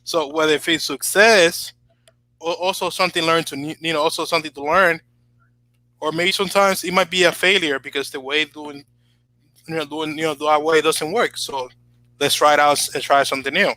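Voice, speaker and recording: Bright-voiced Spanish-native man, rambling. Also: on the high side, normal speed, rough recording. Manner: rambling, many fillers, rising to animated emphasis. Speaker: channel host. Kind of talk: casual podcast chat.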